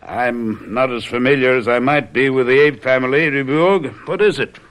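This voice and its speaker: An actor playing a confident, theatrical detective in a radio mystery drama, speaking in a deliberate, emphatic, urbane way. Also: male voice, medium pitch, a bit bright, slight background noise, natural voice. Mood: triumphant. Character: confident, theatrical male detective lecturing his companions.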